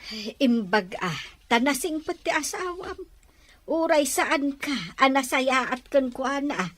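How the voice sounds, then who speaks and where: Fond worried filipino nanang played by a lady, slow talking, audio drama style. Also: sits high, lively intonation, fairly bright tone, a little hiss or hum, full character voice. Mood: concerned. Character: concerned, motherly older woman, fond of her children. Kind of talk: Ilocano audio drama acting.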